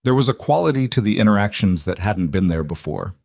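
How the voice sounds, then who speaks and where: A man reading a dramatic western story as scripted narration, measured and deliberate in his delivery. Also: on the low side, expressive, vocal fry, a little mellow, no room sound, studio quality. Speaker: audiobook-style story narrator.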